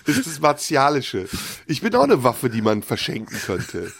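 A man co-hosting a radio show, ranting and sarcastic, his pitch swinging widely as he grumbles through comedic banter. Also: huge pitch swings, normal speed, gravelly, breathy edge, no room sound. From Turkish heritage. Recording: music playing loud underneath. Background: loud music.

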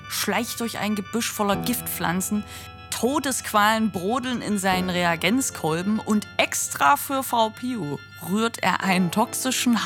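A woman's voice: medium pitch, a bit bright, close to an everyday voice. A woman narrating a poetic radio play as a scripted storyteller.

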